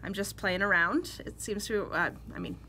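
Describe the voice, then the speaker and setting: A woman's voice: mid-range voice, moderate pace, sharp tone, slight background noise. A Canadian woman co-hosting a knitting podcast, chatting casually and laughing often as she tells stories. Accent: Western Canadian. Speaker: podcast co-host. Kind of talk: casual chat.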